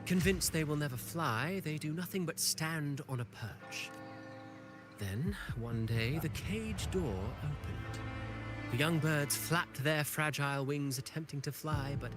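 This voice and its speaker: An actor playing a suave, cryptic traveling playwright in a video game, with courtly, deliberate, theatrical phrasing. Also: male voice, mid-range voice, lightly acted. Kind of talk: dramatic game voice acting. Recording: game music under the voice. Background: loud game music.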